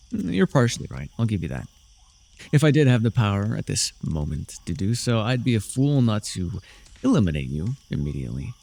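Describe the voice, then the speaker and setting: A man playing a smug, sardonic young dark sorcerer in a fantasy ASMR roleplay, theatrical and teasing, with dry, drawling mockery. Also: fairly low pitch, vocal fry, exaggerated voice.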